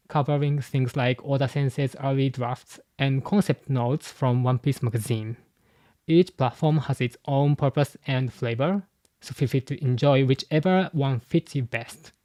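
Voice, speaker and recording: A man's voice: medium pitch, mellow, no room sound. Man explaining, dry sound. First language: Japanese. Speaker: YouTube host and translator. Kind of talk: scripted commentary.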